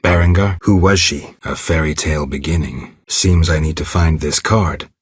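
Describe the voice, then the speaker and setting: Very deep, raspy monster hunter. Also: male voice, normal speed, dry recording. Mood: probing, dry. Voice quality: gravelly, husky. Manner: terse, deadpan, restrained. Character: stoic, weary monster-hunting witcher, adult man. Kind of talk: video game character dialogue.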